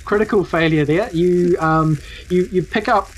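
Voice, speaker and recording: A woman with a low voice and a New Zealand accent runs a tabletop game. Her narration is casual and improvised, with halting searches for the next phrase. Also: slight background noise, low-quality recording. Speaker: game master.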